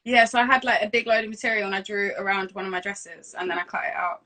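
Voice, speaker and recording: A woman's voice: medium pitch, fast talker, bright, forceful tone. A woman hosting a casual, chatty livestream interview in an informal, giggly, slangy way. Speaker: interviewer and radio host. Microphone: livestream video call on phone or laptop.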